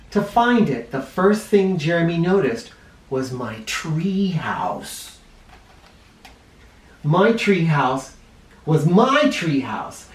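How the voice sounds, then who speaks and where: Animated male storybook reader in an echoey room. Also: a little high. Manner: animated, dramatic emphasis, speaking directly to young listeners. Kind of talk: expressive read-aloud storytelling for children. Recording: roomy, echoing, somewhat distant microphone.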